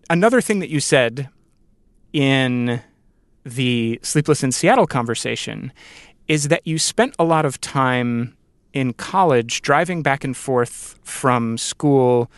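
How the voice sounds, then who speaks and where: A man's voice: medium pitch, smooth, dry recording. A man hosting a podcast interview, musing earnestly and reflectively in a conversational way.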